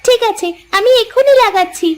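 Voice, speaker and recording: Very high-pitched wistful little girl. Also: normal speed. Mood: curious. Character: young village girl, wistful, curious, sweet. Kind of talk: cartoon fairy tale voice acting.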